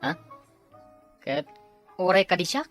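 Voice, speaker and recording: A man's voice: high voice, big pitch swings, takes it slowly, vocal fry, fairly bright tone, no echo, soft background music, exaggerated voice. A man playing a laid-back husband and drinking buddy in a family drama, with an Ilocano accent. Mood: nonchalant. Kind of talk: acted family drama dialogue.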